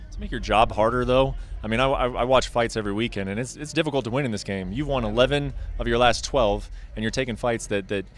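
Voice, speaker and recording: A man in his thirties interviewing fighters, asking brisk, direct questions into a handheld mic. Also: medium pitch. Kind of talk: sports interview.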